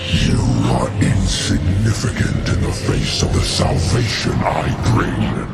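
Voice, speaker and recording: An actor playing an ancient malevolent god who speaks with slow, scornful menace. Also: male voice, sing-song, roomy sound, recorded from a distance, over loud music, full character voice. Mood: contemptuous. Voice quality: deep gravelly menacing. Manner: slow, contemptuous threats.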